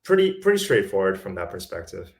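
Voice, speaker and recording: A man's voice: deep voice, sing-song, fast talker, gravelly. A man from South Africa, a podcast guest talking casually and thoughtfully, often repeating words as he finds his thought. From born in Lesotho, raised in South Africa. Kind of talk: casual conversation.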